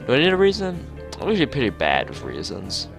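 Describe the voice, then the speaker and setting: A man's voice: mid-range voice, huge pitch swings, quick pace, gravelly, music in the background, pitched above the performer's own voice. A man voicing an odd, blunt, musing teenage schoolgirl artist from a visual novel, talking in matter-of-fact non-sequiturs. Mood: blunt, curious.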